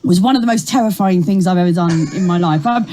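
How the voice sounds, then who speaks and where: A middle-aged woman comedian with a rough, ragged voice, chatting animatedly in an interview. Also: fairly low pitch, noisy background. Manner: chatty, animated storytelling with quick asides. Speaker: comedian guest. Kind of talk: casual interview chat.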